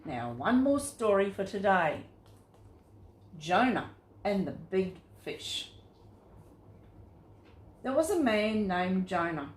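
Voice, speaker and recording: A woman reading scripted Bible stories to children in a simple, expressive storytelling manner. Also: medium pitch, slow, pauses often. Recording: roomy, echoing sound.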